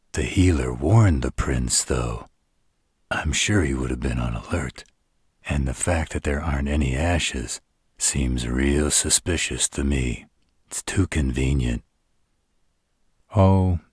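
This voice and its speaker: Arrogant male vampire played by a man for audio drama: extremely low, gravel-husky, deliberate and dramatic. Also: a little mellow, dry recording, studio quality, full character voice. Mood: grim. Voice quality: very deep, rough husky voice. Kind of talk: scripted audio roleplay acting.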